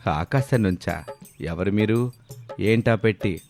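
A man's voice: lowish voice, slow pace, a little mellow. A man playing a proud, gullible village headman who frets over his honour in a storybook tale. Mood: startled. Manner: self-important, fretful about reputation. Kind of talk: scripted story dialogue. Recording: background music behind the voice.

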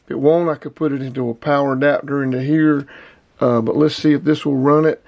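A man's voice: mid-range voice, steady tone, a little fast, gravelly, a little mellow, no echo. A man in his fifties, talking casually and a bit ramblingly through a gear demo in a small indoor room, recorded on a close camera mic. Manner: plainspoken, rambling, repeats numbers. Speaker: outdoors and radio hobby vlogger.

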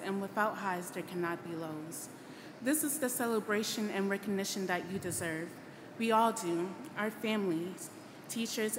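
Teen girl doing a formal grad speech at a podium, big echoey hall, noisy background. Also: mid-range voice. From Ghana. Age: late teens. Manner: earnest, formal, measured oratory. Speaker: graduating student speaker. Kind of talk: scripted commencement speech. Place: large auditorium stage at a podium. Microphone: podium microphone on a boom stand.

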